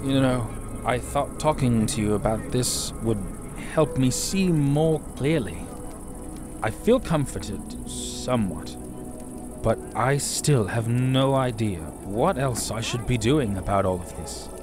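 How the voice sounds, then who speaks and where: An actor playing an earnest, troubled young knight in a fantasy audio drama, with a searching delivery. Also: male voice, medium pitch, slow pace, music in the background, natural voice.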